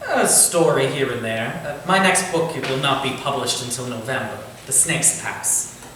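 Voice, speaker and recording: An actor playing a genial, courtly Victorian gentleman writer with a formal, eloquent, theatrical way of talking, in a staged reading. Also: mid-range voice, moderate pace, close to an everyday voice. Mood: musing. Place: indoor staged reading, distant microphone. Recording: very echoey room from far off, faint noise.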